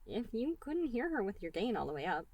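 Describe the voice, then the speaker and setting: A woman's voice: fairly high pitch, big pitch swings, fairly quick, a little mellow, no room sound, pitched above the performer's own voice. A woman voicing a careful, questioning cartoon test tube with a hesitant, reasoning manner. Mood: cautious, doubtful.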